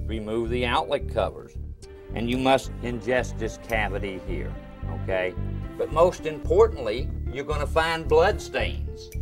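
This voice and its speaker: A middle-aged man, an exterminator, explaining emphatically and often checking in with the listener. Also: mid-range voice. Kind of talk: interview and on-camera demonstration. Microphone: lapel. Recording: clear music behind the voice.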